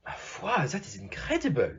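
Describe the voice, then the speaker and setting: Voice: mid-range voice, wildly swooping pitch, normal speed, husky, exaggerated voice. A performer voicing a courteous, shrewd, fatherly male detective in an audio play, with formal, theatrical phrasing and a put-on French accent. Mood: surprised, curious. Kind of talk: amateur audio play acting. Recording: dull, muffled lo-fi.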